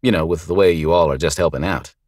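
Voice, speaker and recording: A man voicing a calm, steely male lawman who speaks dryly and pointedly. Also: sits low, fast, no room sound, clean studio sound, lightly acted.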